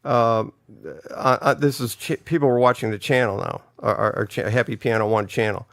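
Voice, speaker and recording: An older man reminiscing as a guest, talking haltingly with frequent restarts and fillers. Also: mid-range voice, steady tone, a bit bright, dry recording, clean studio sound. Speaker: guest, son of the pianist. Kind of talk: reminiscing and reading listener comments.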